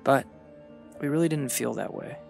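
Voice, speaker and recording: Male vlogger, creaky mid-pitched voice, earnest scripted voice-over narration of a personal journey, music underneath. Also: moderate pace, darkish tone. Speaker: vlogger narrating own travel story.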